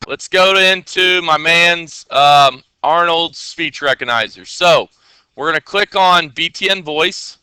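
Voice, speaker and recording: A man's voice: a little high, takes it slowly, bright, forceful tone, clean tone, dry recording, poor audio. A man teaching coding in a casual screen-share tutorial. He talks in a loose, chatty way with many fillers, and keeps checking in with his listeners.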